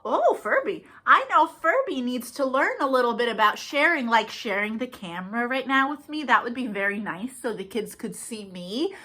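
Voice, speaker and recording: A woman's voice: high voice, pushed and bright. A woman hosting a children's storytime, talking directly to young listeners in a playful, encouraging way. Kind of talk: children's storytime read-aloud with warm-ups.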